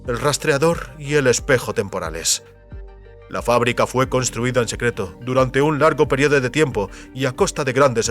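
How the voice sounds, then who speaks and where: Guy playing a solemn company boss in an audio drama, idealistic, grave speechmaking, medium pitch, music underneath. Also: lightly acted. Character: solemn, idealistic male head of secret family company.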